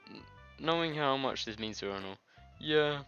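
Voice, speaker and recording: Animated man with a cold, lo-fi mic. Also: medium pitch, normal speed, clean tone. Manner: jokey, giggly, informal. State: congested with a nasty cold, coughing. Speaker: video game let's-player. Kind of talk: reading visual novel dialogue aloud with casual asides. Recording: dull lo-fi mic with music behind. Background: game music.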